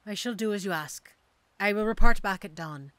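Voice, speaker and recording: A woman's voice: mid-range voice, normal speed, a bit bright, no room sound, natural voice. A woman playing a cocky, street-hardened female mercenary assassin in an audio roleplay, with a teasing, sardonic, theatrical manner. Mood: playful, businesslike. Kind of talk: audio roleplay drama acting.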